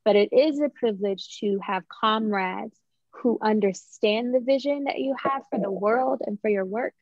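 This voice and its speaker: A woman's voice: a little high, moderate pace, a little mellow, dry recording. Young woman, muffled dry video-call sound. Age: young adult. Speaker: playwright, interview guest. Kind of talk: conversational interview answers. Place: indoors in a room at home.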